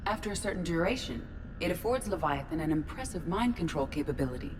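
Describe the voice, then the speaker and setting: An actress voicing a composed, analytical artificial intelligence crew member in a video game, with precise, measured and formal speech. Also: female voice, fairly low pitch, animated, background noise, close to an everyday voice. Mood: calm, analytical.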